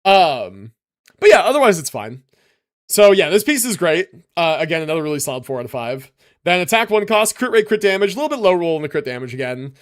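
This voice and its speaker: A man with a fairly high voice and very lively, swinging intonation gives casual livestream commentary. He thinks aloud as he goes, slipping easily between reading out numbers and slangy remarks to viewers. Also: fairly quick. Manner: relaxed slangy talk, thinking aloud, reading stats and chat aloud. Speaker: livestream host reviewing game builds.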